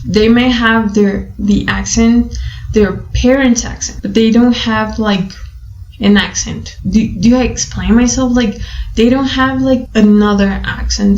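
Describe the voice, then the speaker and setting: A woman's voice: mid-range voice, darkish tone, a little hiss or hum. A young Brazilian woman whose first language is Portuguese, thinking aloud in a casual webcam vlog with many fillers. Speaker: vlogger. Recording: echoey room, dull webcam audio.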